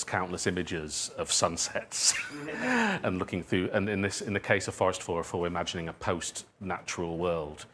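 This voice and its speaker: A man speaking conversationally at a live talk, answering questions in a halting way, circling back over his phrases. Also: low-pitched, fairly bright tone, a little hiss or hum. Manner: halting, circling and repeating phrases, chuckles at own remarks. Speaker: speaker, writer of audio drama. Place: indoor public event venue.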